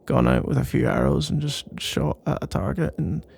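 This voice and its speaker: A man's voice: fairly high pitch, wildly swooping pitch, normal speed, vocal fry, a little mellow, slightly rough. A man doing a casual, rambling ASMR boyfriend roleplay in a playful, mock-boastful and meandering way.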